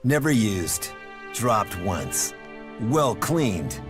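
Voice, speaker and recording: An actor playing a cold, logical renegade soldier in a video game, speaking formally and with measured menace. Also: male voice, fairly low pitch, big pitch swings, heavily acted. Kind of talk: video game dialogue acting. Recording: music under the voice.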